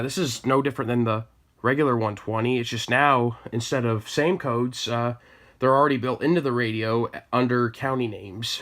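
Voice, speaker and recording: A man's voice: fairly low pitch, fairly bright tone. Casual American man showing off gear. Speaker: hobbyist collector presenting his radios. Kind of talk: casual product show-and-tell.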